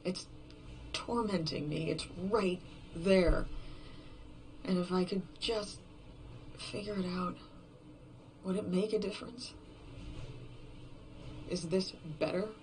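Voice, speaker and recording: A performer voicing a despairing, obsessive lone survivor in a horror fiction podcast, brooding and halting in a confessional monologue, often dropping toward a whisper. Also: medium pitch, fairly even intonation, a little hiss or hum, close to an everyday voice, rough recording. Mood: tormented longing.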